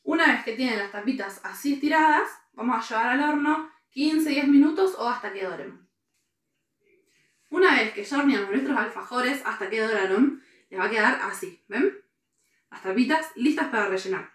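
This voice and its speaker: A young Argentine woman explains steps as in a cooking demonstration. Her voice is slightly high, and the camera is a few steps away, so the room echoes. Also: lively intonation, normal speed, a bit bright. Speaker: cooking presenter. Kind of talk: step-by-step recipe demonstration. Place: kitchen, indoors.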